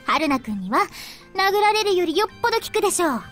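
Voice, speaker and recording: A playful young woman in a visual novel game, speaks in a high, animated voice at a very quick clip. She is bold and teasing, with soft music behind her. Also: exaggerated voice. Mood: impish. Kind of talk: Japanese visual novel voice acting.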